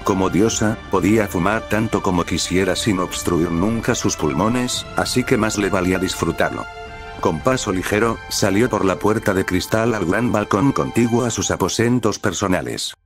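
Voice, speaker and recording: A man reading a fanfiction story aloud as scripted narration, measured and delivered in short phrases. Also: deep voice. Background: soft background music.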